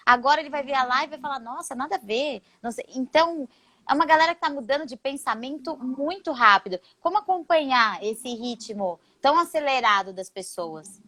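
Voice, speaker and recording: A woman's voice: high-pitched, a bit bright, dry recording. A Brazilian woman hosting a live interview stream, chatty and laughing, greeting viewers by name. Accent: Brazilian Portuguese. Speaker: live show host.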